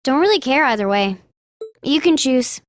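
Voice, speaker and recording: An actress playing a small, apathetic teenage girl student in an anime game dub, deadpan and listless. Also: sits high, moderate pace, no echo, clean studio sound. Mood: indifferent.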